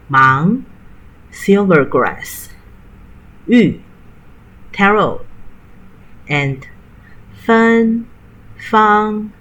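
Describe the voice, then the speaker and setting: A woman whose first language is Mandarin Chinese, teaching in a careful, clearly spaced scripted tutorial voice. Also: fairly low pitch, poor audio.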